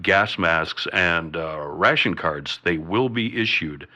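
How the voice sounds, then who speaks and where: An actor playing a bumbling state governor giving an official emergency announcement in a comedic radio drama, halting and list-like, with slightly lo-fi sound. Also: male voice, sits low, lively intonation, bright, forceful tone, full character voice. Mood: halting officialdom.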